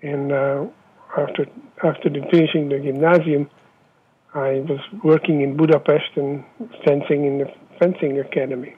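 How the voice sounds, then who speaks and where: A man in his seventies with a Hungarian accent, pausing often with fillers as he answers interview questions over the phone. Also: a little high, little pitch movement, slightly rough. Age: 70s. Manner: halting, many filler pauses. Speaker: interviewee, former Olympic fencer. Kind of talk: oral history interview. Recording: dull lo-fi phone line.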